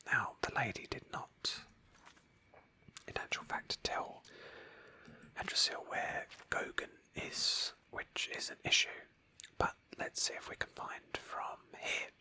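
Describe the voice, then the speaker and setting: A woman whispering gently as she narrates an ASMR roleplay of a video game in a storytelling manner. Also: slow, poor audio. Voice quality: breathy whisper. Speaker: host of a roleplay game playthrough.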